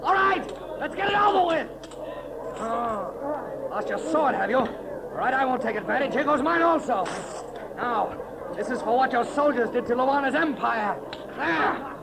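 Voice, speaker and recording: An actor in a 1930s radio serial playing a bold, commanding hero emperor with a declamatory, decisive delivery. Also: male voice, medium pitch, expressive, fast, a loud crowd, close to an everyday voice. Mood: defiant, commanding. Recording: noisy old 1930s radio recording.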